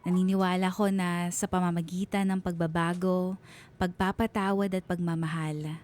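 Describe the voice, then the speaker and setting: Radio drama narrator: reflective mother, played by a woman, slightly low voice, heartfelt first-person reading, music bed. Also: darkish tone, close to an everyday voice. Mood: wistful, heartfelt. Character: reflective wife and mother recounting her troubled marriage.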